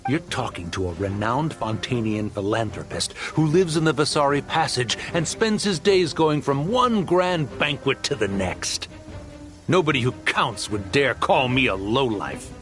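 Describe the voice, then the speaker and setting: A boastful, money-hungry smuggler whose voice swings lively up and down as he brags and bargains. Smug and wheedling, he sits at a mid pitch over a soft game score. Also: unhurried, faint music behind. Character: greedy male smuggler posing as philanthropist, smug. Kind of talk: game cutscene voice acting.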